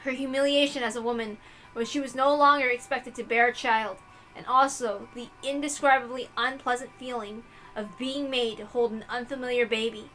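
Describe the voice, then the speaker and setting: Female narrator for a dramatic visual novel read-through, high-pitched and bright, slight noise on the recording. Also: steady tone, normal speed, soft background music, low-quality recording.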